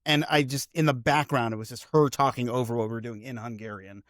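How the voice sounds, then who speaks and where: A man co-hosts a podcast in a relaxed, rambling way. His voice sits at an ordinary middle pitch. It is recorded dry and close on the mic. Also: quick pace, dry recording. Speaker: podcast co-host, guest's son. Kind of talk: casual interview.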